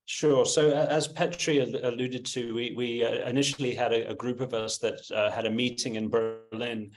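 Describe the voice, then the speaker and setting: Flat-voiced hesitant man. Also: mid-range voice, moderate pace, poor audio. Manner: hesitant, stammering starts. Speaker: webinar guest. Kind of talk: conversational self-introduction.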